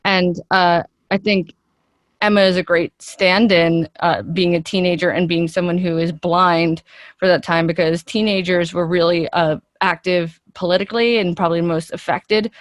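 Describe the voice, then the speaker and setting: A woman with a lowish voice talks as an interview guest, chatty and keen to explain. The sound is dry and close, and her delivery is conversational and enthusiastic. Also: fairly even intonation, normal speed, dry recording.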